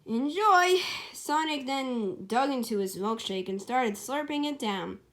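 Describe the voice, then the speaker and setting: High-voiced woman reading a story informally. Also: a little slow. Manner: informal, self-correcting. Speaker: storyteller and writer. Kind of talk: amateur storybook narration with casual asides.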